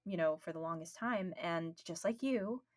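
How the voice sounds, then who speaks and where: A woman's voice: on the low side, normal speed. A woman hosting a podcast interview, talking casually and affirming her guest, with many "you know" and "right" fillers. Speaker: podcast host.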